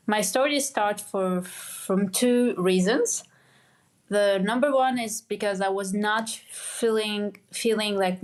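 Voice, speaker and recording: Brief: young woman from Lebanon, guest on a podcast, mid-pitched, halting and full of fillers. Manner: halting, many restarts and "like" fillers. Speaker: guest, medical doctor. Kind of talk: conversational interview.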